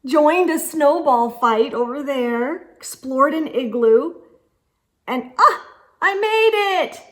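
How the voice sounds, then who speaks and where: A woman reading a picture book aloud to children, expressively, with gasps and hushed asides. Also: high voice, expressive, slow. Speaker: children's read-aloud storyteller.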